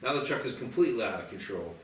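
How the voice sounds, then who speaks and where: A man's voice: fairly low pitch, lively intonation, rapid-fire, distant mic, a little hiss or hum. A man reading scripted action and stage directions as narrator at a screenplay table read. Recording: roomy, off-mic, music behind.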